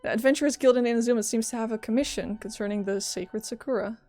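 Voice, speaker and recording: Expressive high-voiced woman streaming a game. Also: fast talker. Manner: expressive, dramatic, exclamatory. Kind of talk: live gameplay reaction chat. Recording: close dry mic over game music. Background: game music.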